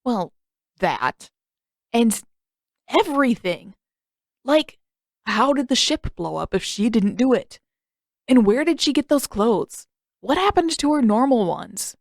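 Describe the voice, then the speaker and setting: A woman's voice: fairly high pitch, big pitch swings, takes it slowly, long pauses, no room sound, voice pushed up, full character voice. A woman acts out a teenage big brother from an adventure story, brash and protective. He pesters and grumbles with indignant emphasis. The sound is dry, with little room around it. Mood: puzzled, suspicious.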